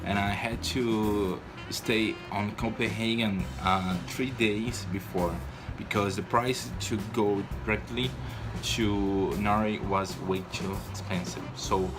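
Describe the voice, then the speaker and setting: A man's voice: lowish voice, fairly even intonation, slow pace, over music. Hesitant Brazilian man in his twenties. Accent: Brazilian Portuguese. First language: Portuguese. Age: 20s. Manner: hesitant, frequent restarts and fillers, shy. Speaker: vlogger. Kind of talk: casual personal vlog.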